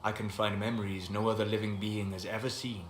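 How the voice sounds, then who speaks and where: A low, composed voice of a reserved elven scholar-mage, played by a man in a fantasy game. He speaks formally and eloquently, with a wistful gravity. The sound is roomy, with faint noise behind it. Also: flat delivery, brisk, natural voice. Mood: solemn, intrigued. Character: calm scholarly male elf apostate mage, wistful and reserved. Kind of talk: video game dialogue acting. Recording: echoey room with background noise.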